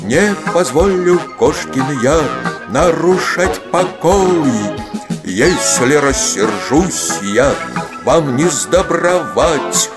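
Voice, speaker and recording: Self-important old tomcat guard, fairly high male voice, drawn-out musical delivery, storybook show. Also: lively intonation. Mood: stern, proud. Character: stern, proud old tomcat guard of a house. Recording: loud music under the voice.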